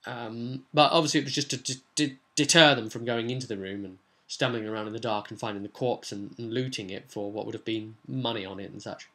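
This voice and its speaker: A man's voice: mid-range voice, steady tone, fairly quick, hardly any pauses, a bit bright. A man talking informally and at length as a game master explaining a tabletop session. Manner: rambling, informal. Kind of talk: casual explanatory vlog talk.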